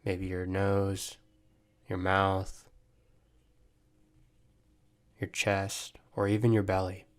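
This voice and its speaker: A man guiding a body scan meditation, giving gentle, measured instructions with long pauses. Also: sits low, monotone. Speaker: meditation guide, mental performance coach.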